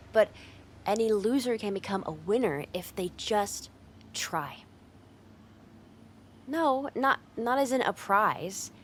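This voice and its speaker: Woman acting a brash tsundere delinquent, noisy background. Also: mid-range voice. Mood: blunt, encouraging. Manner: blunt, informal pep talk with hesitant, halting asides. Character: brash tsundere delinquent young woman, gruff but secretly caring. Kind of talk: ASMR roleplay acting, speaking directly to the listener. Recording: clear background noise, outdoor street ambience.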